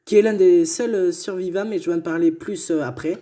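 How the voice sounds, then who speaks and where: Mellow-voiced man reading history notes. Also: high-pitched, moderate pace. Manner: reads aloud with stumbles and self-corrections, joking asides. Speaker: co-host telling history.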